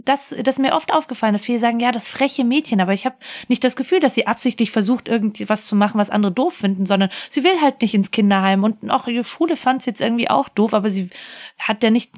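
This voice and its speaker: A woman talking casually as a podcast panelist in a relaxed group discussion. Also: a little high, fast.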